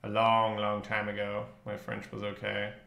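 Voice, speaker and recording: A man's voice: lowish voice, completely flat delivery, moderate pace, creaky, pushed and bright, roomy sound. A man from northern Belgium whose native language is Dutch, chatting casually and thinking aloud with some swearing as he streams a game. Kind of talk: casual live game commentary, chatting with viewers.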